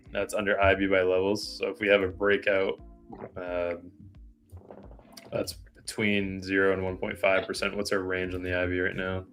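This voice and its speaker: Co-host, male, low voice, matter-of-fact trade caller. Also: fairly bright tone. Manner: reads out viewer questions, calls out order levels. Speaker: trading stream co-host. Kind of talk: casual live-stream chat.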